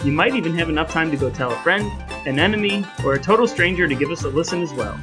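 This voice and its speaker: A man with a slightly high-pitched voice co-hosts a casual podcast. He has a jokey, mock-grand way of talking. Music plays clearly behind him. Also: lively intonation, fast. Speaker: podcast co-host. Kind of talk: casual chat with playful scripted sign-off.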